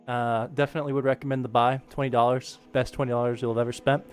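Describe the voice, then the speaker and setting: A man's voice: medium pitch, level tone, fast talker, smooth. Man, casual livestream host, informal tutorial chat, explains as he works, music in the background. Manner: informal, addresses viewers, narrates actions with sound-effect words.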